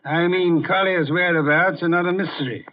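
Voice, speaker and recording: Casting: actor as imperious old detective genius, pompous and grumbling, higher-pitched male voice, dull vintage radio sound. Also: normal speed, close to an everyday voice. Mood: curt, irritable. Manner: pompous, formal, curt, grumbling. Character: imperious, irritable, housebound genius detective, heavyset older man. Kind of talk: 1950s radio detective acting.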